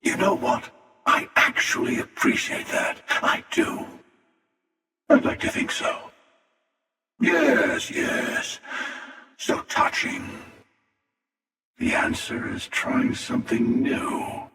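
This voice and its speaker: Give the game a menacing, cynical hunter of many universes. His gravelly voice moves slowly and sardonically, as if every line is a taunt. It rings through a big, cavernous reverb and sounds set back from the mic. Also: very high-pitched, huge pitch swings, pushed and bright, recorded from a distance, exaggerated voice. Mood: sardonic, cold. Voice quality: gravelly, creaky. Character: cynical, menacing, world-weary male interdimensional hunter. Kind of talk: video game character voice lines.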